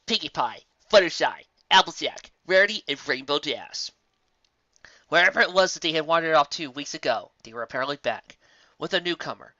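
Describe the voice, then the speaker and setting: A woman narrating a fan-fiction story in an expressive storybook style. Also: sits low, no echo. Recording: dull, muffled audio.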